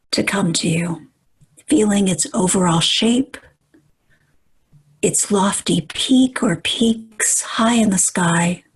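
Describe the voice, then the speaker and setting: Female meditation guide; hushed, very airy tone, unhurried delivery, calm measured phrases with long pauses. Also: medium pitch, rough recording. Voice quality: soft, breathy, at times near whisper.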